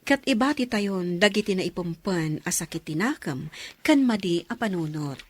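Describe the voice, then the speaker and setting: A woman's voice: fairly low pitch, lively intonation, normal speed, dry recording. A woman with an Ilocano accent giving scripted inspirational narration as the program's narrator.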